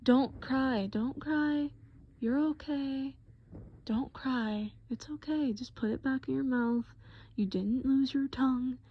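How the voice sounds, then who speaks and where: A woman playing a gentle, patient boyfriend in acted-out roleplay, coaxing with pet names and simple, repeated reassurances. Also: sits high, takes it slowly, muffled recording, pitched above the performer's own voice, heavily acted. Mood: coaxing, worried. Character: gentle, patient boyfriend soothing a groggy partner.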